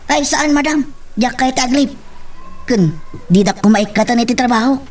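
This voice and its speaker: A woman voices a deferential, dutiful bodyguard in an Ilocano radio drama. The voice is fairly high and a touch distant, carrying an Ilocano Filipino accent. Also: moderate pace, a little mellow, close to an everyday voice. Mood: respectful. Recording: slightly distant microphone.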